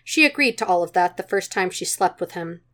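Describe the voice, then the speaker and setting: A woman's voice: on the low side, lively intonation, a little fast, fairly bright tone. A woman reading a story aloud as scripted narration, in the manner of an amateur audiobook. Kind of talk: scripted narration of fan fiction.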